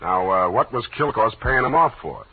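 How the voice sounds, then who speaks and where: An actor playing a wry, deadpan private detective in 1940s radio drama style, heard on an old, narrow broadcast. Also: male voice, lowish voice, moderate pace, slightly rough, no echo. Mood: tough, probing. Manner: deadpan, sardonic. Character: wry, hard-boiled male private detective.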